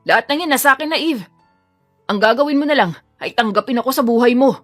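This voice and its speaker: Woman voicing a menacing, obsessive man, forceful. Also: on the high side, fast talker, faint music behind, close to an everyday voice. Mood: pleading, bitter. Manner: taunting, forceful. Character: menacing, obsessive male attacker, taunting and bitter. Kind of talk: audio drama storytelling.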